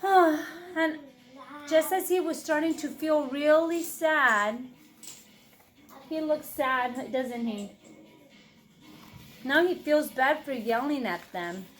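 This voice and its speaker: A woman's voice: high voice, animated, slow pace, long pauses, soft background music. A woman storyteller reading a children's picture book expressively, with questioning asides to her young listeners. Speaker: read-aloud storyteller, teacher.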